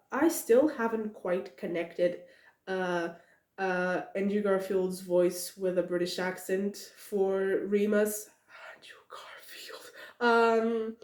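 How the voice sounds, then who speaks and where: A woman's voice: medium pitch, reverberant. A young woman in a casual rambling monologue, giggling often and filling with many "uh"s and restarts. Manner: giggly, many fillers and restarts, excited asides. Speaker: podcaster talking about a book.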